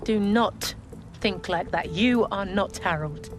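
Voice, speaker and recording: A woman's voice: mid-range voice, takes it slowly, lightly acted. A woman playing a caring but firm mother in a video game cutscene, speaking over music. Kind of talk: cinematic game cutscene acting.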